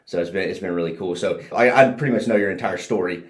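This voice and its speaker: A man's voice: low-pitched, quick pace. A man hosting a casual podcast interview, asking his guest questions in a chatty, friendly way. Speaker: podcast host. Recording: roomy echo.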